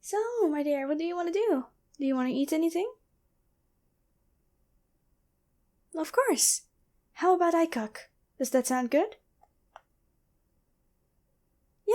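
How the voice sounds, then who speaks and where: A woman playing a sly, teasing female demon posing as a girlfriend in an intimate, deliberate ASMR roleplay. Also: sits high, darkish tone. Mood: feigned sweetness. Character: taunting female demon possessing and impersonating someone's girlfriend. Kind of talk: ASMR roleplay, addressed to the listener.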